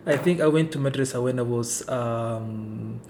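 A man from Kenya whose first language is Somali, speaking in a relaxed, mellow voice. He talks unhurriedly and fills his pauses with "you know" and "right". A faint noise sits under the recording. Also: mid-range voice. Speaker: interview guest. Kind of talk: casual conversation.

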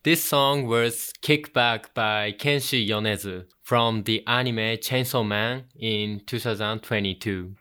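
Bright, clear young Japanese-accented man. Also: lowish voice. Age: 20s. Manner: earnest, halting non-native phrasing with fillers. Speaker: radio host. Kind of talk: casual radio show introduction.

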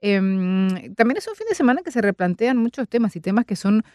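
A woman's voice: mid-range voice, very animated, a little fast, darkish tone, no room sound. A woman hosting a radio interview, talking in a chatty, reflective way. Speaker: radio host. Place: radio studio.

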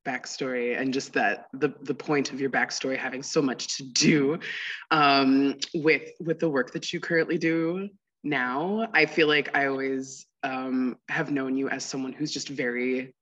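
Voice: mid-range voice, fairly even intonation, measured pace, a bit bright, highs cut off. A podcast host with a mid-range voice leads a casual interview. They talk in a chatty, gushing way, with many fillers and long winding sentences. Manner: chatty, effusive, heavy use of "like" and "um".

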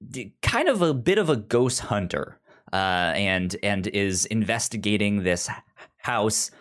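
A podcast host talking casually through a review in a halting way, often stuttering and repeating the first sounds of words. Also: deep voice, expressive.

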